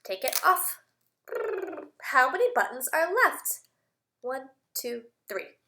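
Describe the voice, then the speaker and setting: A woman teacher doing an animated read-aloud for young children, with exaggerated emphasis, simple explanations, questions and bits of singing. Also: high voice, slow, a little hiss or hum. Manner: simple explanatory phrasing with repeated words. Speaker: speech-language teacher reading aloud.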